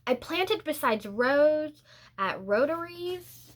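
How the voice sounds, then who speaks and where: A woman reading a picture book aloud as a teacher would, in a deliberate, expressive storytelling manner with drawn-out words and pauses. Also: high voice. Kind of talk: picture-book read-aloud, scripted narration with brief asides.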